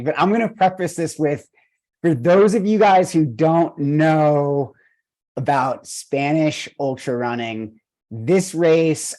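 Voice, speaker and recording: Slow, enthusiastic Argentinian coach. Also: male voice, fairly high pitch, a little mellow. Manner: enthusiastic, rambling with many fillers. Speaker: running coach, podcast host. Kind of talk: conversational podcast interview. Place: video call.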